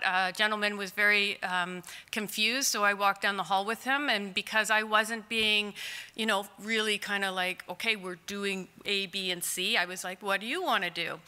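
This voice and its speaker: A woman in her forties, a clay artist, answering audience questions in a chatty, candid way with a loud voice and frequent laughs. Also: medium pitch, clean tone. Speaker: clay artist in long-term care. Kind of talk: conference Q&A answers. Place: conference hall. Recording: distant in a large reverberant hall.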